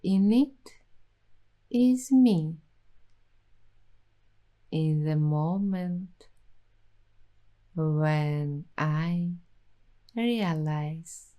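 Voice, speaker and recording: Woman, ASMR roleplay host, very slow, warm and mellow tone, soft reflective delivery. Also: low-pitched.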